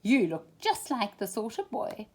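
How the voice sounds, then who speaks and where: A sly old fox from a storybook, put on by a woman. He flatters and entices like a swindler, his slightly husky voice swooping up and down. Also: a little high, a little slow, pitched above the performer's own voice, exaggerated voice. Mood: wheedling. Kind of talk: children's storybook character voice.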